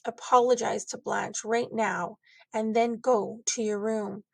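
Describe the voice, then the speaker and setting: A woman voicing a stern, disappointed father in a children's storybook, correcting his child in a measured, admonishing way. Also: a little high, steady tone, gravelly, fairly bright tone, exaggerated voice. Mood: disappointed, firm.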